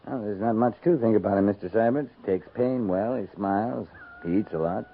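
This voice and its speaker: An actor playing a laconic, steady middle-aged cavalry captain in a 1950s radio western, speaking in terse, dry lines. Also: male voice, fairly low pitch, brisk, lightly acted. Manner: terse, dry, matter-of-fact. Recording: muffled, noisy 1950s radio recording.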